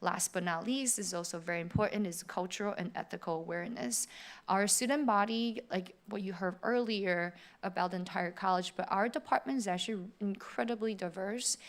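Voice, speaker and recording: A woman's voice: mid-range voice, brisk. A middle-aged woman from Taiwan giving an upbeat open house presentation in a theater, speaking directly to prospective students. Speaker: animation faculty presenter. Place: theater auditorium.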